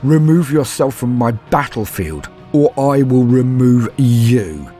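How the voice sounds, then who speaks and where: A man's voice: mid-range voice, lively intonation, slow, warm tone, soft background music, voice pushed up. A man voicing a commanding alien war machine in an imperious, threatening manner. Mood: cold menace.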